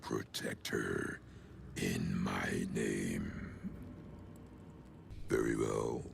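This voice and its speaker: An older man playing an ancient, sinister cult leader in a video game, with a very deep gravelly voice and a solemn, measured, archaic delivery. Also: slight background noise, exaggerated voice. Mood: dark reverence. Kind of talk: dramatic video game monologue.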